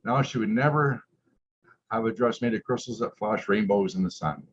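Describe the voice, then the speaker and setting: A man's voice: on the low side, normal speed. A man who is a drag queen reading aloud at story time, stumbling over words at times and chatting casually between passages with the odd "eh". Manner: tags remarks with "eh". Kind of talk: story time read-aloud with chanted refrains and casual asides. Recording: muffled lo-fi audio with clear background noise.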